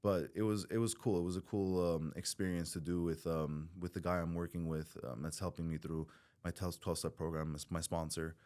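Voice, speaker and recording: A man's voice: low voice, steady tone, brisk, darkish tone. A man from Southern California speaking as a podcast guest, talking candidly and bluntly, swearing freely and restarting his sentences as he rambles.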